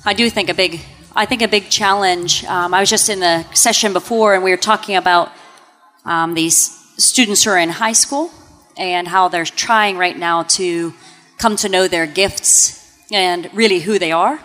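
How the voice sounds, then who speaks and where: A woman's voice: fairly low pitch, steady tone, normal speed, a bit bright. Reflective woman in an echoing hall. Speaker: religious sister. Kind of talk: casual guest talk on stage. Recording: reverberant hall with faint music.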